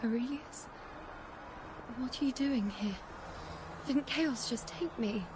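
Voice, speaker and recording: A woman's voice: high-pitched, slow pace, background noise. A woman voicing a proud, defiant young priestess in a dramatic video game, with a haughty, theatrical delivery. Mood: searching, puzzled.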